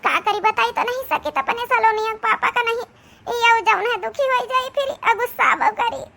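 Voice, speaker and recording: A woman's voice: very high voice, normal speed, gravelly, sharp tone, heavily acted. A woman voicing a worried young married daughter in a cartoon comedy, lamenting with an Awadhi accent. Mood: plaintive, explaining. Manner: plaintive, lamenting.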